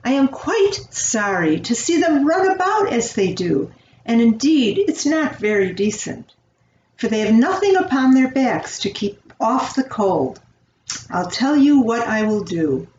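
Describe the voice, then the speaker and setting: Older lady reading as a grateful caring cobbler's wife in a fairy tale, unhurried, echoey and a bit distant. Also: a little high, sing-song, a little mellow. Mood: earnest, grateful. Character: kind, grateful shoemaker's wife, motherly and practical. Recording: roomy, distant, dull home recording, faint noise.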